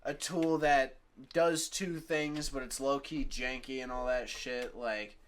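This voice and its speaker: A man's voice: medium pitch, fairly even intonation, slow. A young man co-hosting a casual podcast, talking in a loose, slangy, profane way into a desk microphone at home. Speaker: podcast co-host. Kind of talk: casual chat. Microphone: desk USB microphone.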